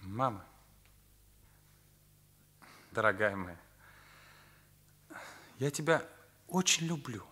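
An earnest teenage son, bright forceful voice. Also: male voice, mid-range voice, animated, drawn-out words, long pauses, exaggerated voice. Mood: tender, probing. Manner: accusing, emphatic, morally rigid. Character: earnest, indignant teenage son confronting his parents. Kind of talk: Soviet radio play acting.

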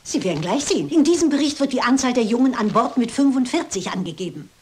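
A woman's voice: on the high side, animated, quick pace, a little mellow, close to an everyday voice. A shrewd, composed elderly lady detective, acted by a woman in a crime radio play. She lays out her reasoning precisely and persists with impeccable politeness. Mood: assured, explaining. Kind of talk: German dubbed crime film acting.

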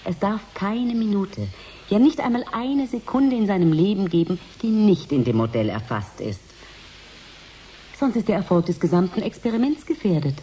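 Eine Schauspielerin spielt in einem Hörspiel eine gefasste, bestimmte Wissenschaftlerin, die im Interview förmlich und abgewogen erklärt. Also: female voice, mid-range voice, sing-song, mellow, a little hiss or hum, lightly acted. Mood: resolute. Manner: formal, measured explanation. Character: composed female scientist, reassuring yet resolute. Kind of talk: radio drama interview.

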